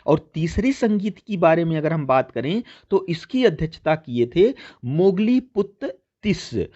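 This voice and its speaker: A man's voice: on the high side, very animated, measured pace, mellow, highs cut off. A man teaching an exam-prep lesson, addressing listeners as friends and repeating key points as he explains. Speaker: teacher hosting a history quiz channel.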